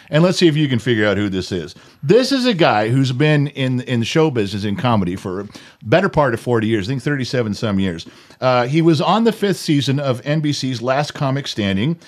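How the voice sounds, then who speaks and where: A man hosting a casual comedy interview podcast in a studio, talking in an informal, jokey way. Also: a little high. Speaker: interview show host.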